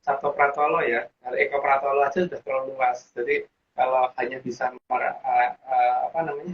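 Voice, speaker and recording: A man with a lilt talks at an everyday mid pitch, explaining at length. He loops back and restarts, leaning on fillers as he goes. The audio is dull and lo-fi, with a faint hiss underneath. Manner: rambling, restarts with frequent fillers. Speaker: guest explaining teachings. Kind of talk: casual explanatory chat.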